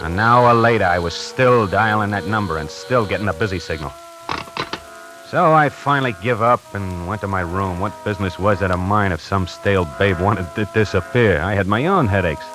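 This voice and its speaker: A man's voice: fairly low pitch, moderate pace, close to an everyday voice, low-quality recording. An actor playing a wisecracking necktie salesman who narrates his own story in a 1940s radio drama, hardboiled and slangy. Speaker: narrator and lead character. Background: music.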